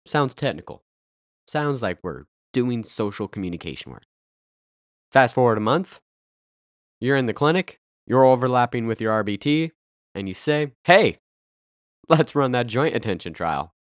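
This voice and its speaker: A man in his thirties hosting a podcast, speaking with a raspy, nasal voice from a head cold, earnest with bursts of playful energy. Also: medium pitch, very animated, moderate pace, long pauses, fairly bright tone, dry recording, studio quality. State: congested with a cold, stuffy and nasal. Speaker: podcast host. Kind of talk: scripted podcast monologue.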